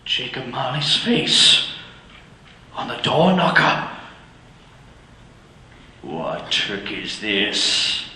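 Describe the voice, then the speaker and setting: An actor playing a miserly, grumbling old moneylender in an old-time radio play, with a gruff, scolding way of talking. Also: male voice, medium pitch, big pitch swings, drawn-out words, long pauses, bright, forceful tone, exaggerated voice. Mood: uneasy. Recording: echoey hall with faint hiss.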